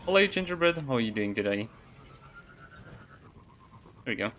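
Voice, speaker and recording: A man's voice: medium pitch, sing-song, normal speed, a bit bright, a little hiss or hum. A man giving casual livestream commentary as he plays a video game. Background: faint game music.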